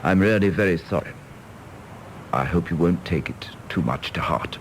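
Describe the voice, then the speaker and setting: An actor playing a courteous English diplomatic official in a radio drama, measured, polite and reassuring. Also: male voice, low-pitched, a little hiss or hum. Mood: tactful, soothing. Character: calm, courteous senior British diplomatic colleague, tactful. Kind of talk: stage-play radio drama acting.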